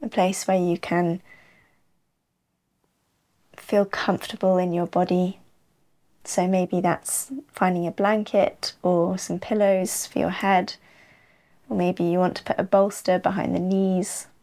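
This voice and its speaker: A woman leading a guided yoga nidra relaxation as the teacher, in an unhurried, soothing way with long pauses. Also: on the low side, flat delivery, warm tone. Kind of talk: slow guided relaxation narration.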